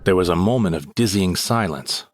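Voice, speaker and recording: A man with a very deep voice narrates a comic sci-fi audio drama in the first person, spinning the tale wryly and theatrically. Clear music plays under his voice throughout. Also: lively intonation, normal speed.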